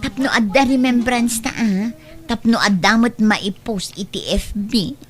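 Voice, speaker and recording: A woman's voice: a little high, a little slow, natural voice. A woman playing an impatient, sulky young girlfriend in an audio drama, with a nagging, exasperated manner. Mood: coaxing.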